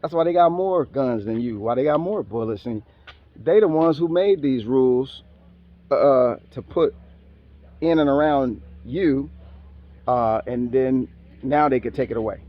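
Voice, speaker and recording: A man's voice: mid-range voice, a little slow, lo-fi sound. A man giving a rambling casual monologue outdoors, full of street slang and asides. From Philippines heritage, raised elsewhere. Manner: rambling, streetwise slang, talks back to animals. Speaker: vlogger.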